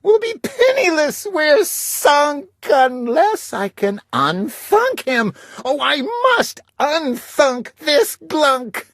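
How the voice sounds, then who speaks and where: A performer voicing an alarmed, bossy little girl from a storybook, shrill and pleading in rhyme. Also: very animated, slow, no room sound, studio quality, full character voice. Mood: panicked. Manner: shrill, yelling, fretful. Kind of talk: rhyming children's storybook character voice.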